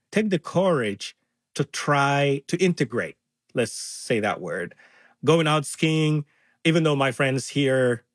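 A man's voice: a little high, no room sound. A man from Somalia, an interview guest talking earnestly and acting out others' words with animation. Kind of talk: conversational interview.

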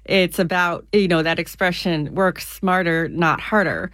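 A woman from the United States, an author being interviewed on the radio, explaining ideas clearly with everyday examples. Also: lowish voice, steady tone, unhurried, a bit bright, dry recording, clean studio sound.

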